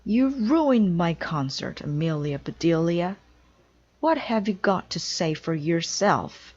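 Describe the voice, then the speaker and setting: A woman's voice: fairly low pitch, expressive, slow, darkish tone, no room sound, muffled recording. A woman voicing a bossy, exasperated male orchestra conductor from a children's storybook, in a commanding manner. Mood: furious.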